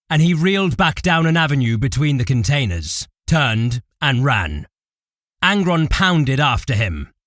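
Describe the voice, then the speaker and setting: Male narrator for audio drama, lively swings in pitch, dry close studio sound. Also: no echo. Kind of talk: dramatic scripted fiction narration.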